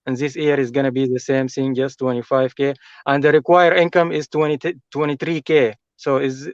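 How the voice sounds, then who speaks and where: Higher-voiced Sudanese man on muffled call. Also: smooth, no room sound. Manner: polite, hesitant non-native English. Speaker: caller. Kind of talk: conversational questions to an advisor.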